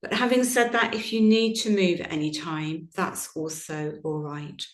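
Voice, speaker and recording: A woman teaching yoga nidra, guiding a relaxation meditation in a gentle, soothing, unhurried manner with long pauses. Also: lowish voice. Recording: roomy, echoing sound.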